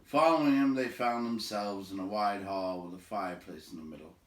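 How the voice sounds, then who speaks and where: A man's voice: on the low side, brisk, slight background noise. A man reading a storybook aloud as narrator. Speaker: audiobook reader. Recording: echoey room, slightly far from mic.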